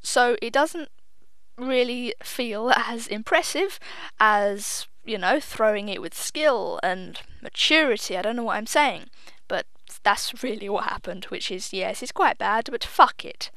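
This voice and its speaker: A woman's voice: on the high side, animated, unhurried, a bit bright, dry recording. A young woman giving casual, chatty gameplay commentary. She is self-deprecating, swears offhandedly and admits she sounds a little nervous. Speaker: gaming video host.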